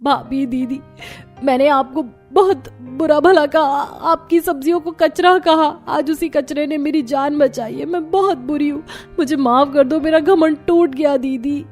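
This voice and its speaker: Woman playing a whiny spoiled young wife, high voice, cartoon, faint music. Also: measured pace, darkish tone. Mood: remorseful. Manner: bratty whiny nagging.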